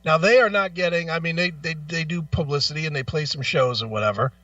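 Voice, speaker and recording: High-voiced blunt man, dull distant sound. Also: fast talker, sharp tone, dry recording. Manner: blunt, profane. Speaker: radio show co-host. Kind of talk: casual opinionated talk.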